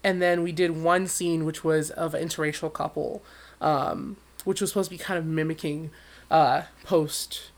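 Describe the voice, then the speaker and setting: A man who sings professionally, talking as an interview guest with a high voice and an animated, storytelling manner. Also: moderate pace. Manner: animated storyteller, acts out quotes with big leaps in pitch. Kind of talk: conversational interview.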